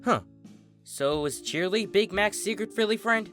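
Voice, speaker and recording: A man's voice: high-pitched, measured pace, a bit bright, soft background music, pitched above the performer's own voice. A man voicing a blunt young female country pony from a cartoon fan story, folksy and suspicious. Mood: curious.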